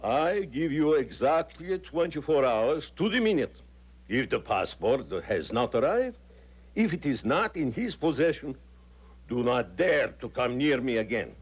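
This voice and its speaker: An actor playing a stern, formal, grudgingly lenient official issuing threats in a 1970s radio drama. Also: male voice, mid-range voice, lively intonation, unhurried, husky, dull audio. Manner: formal, imperious.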